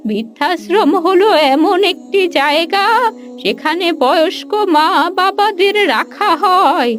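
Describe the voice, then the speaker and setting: A woman voicing a frail, gentle old grandmother in a cartoon, with a sorrowful, pleading, drawn-out delivery. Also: extremely high pitch, lively intonation, soft background music, pitched above the performer's own voice, heavily acted. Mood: gentle, sad. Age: older.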